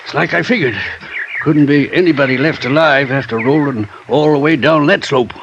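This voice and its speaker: A man's voice: fairly high pitch, moderate pace, noisy background, close to an everyday voice. Actor as drawling old country man, muffled radio. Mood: curious, sober. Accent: rural American. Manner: drawling, folksy, rambling. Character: folksy older male rock-moving prospector, plain-spoken. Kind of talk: 1950s radio drama acting.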